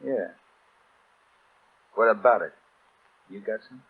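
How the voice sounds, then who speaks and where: An actor playing a tough, jumpy small-time crook, with gruff tough-guy slang in an old radio drama. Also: fairly low pitch, slow, exaggerated voice. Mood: wary, tough. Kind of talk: 1930s-style radio drama acting. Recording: tinny old radio broadcast.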